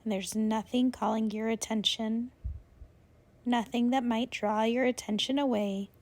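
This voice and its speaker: A woman's voice: a little high, fairly even intonation, darkish tone. Woman guiding a relaxation meditation. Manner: soothing, unhurried instruction with long pauses. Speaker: yoga nidra instructor.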